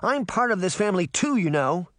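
A man voicing a high, bossy little girl. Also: moderate pace, dry recording, clean studio sound, lightly acted. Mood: cross, indignant. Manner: scolding, lecturing, earnest. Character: bossy, indignant seven-year-old girl, sweet and earnest. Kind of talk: audiobook character acting.

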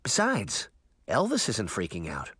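A man plays a young girl, the bossy older sister of a children's storybook. She is snappy and cautious, with a pitch that moves around a lot in a close, dry recording. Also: wildly swooping pitch, unhurried, pushed and bright, breathy edge, no room sound, heavily acted. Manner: snappy, commanding. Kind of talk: children's audiobook character voice.

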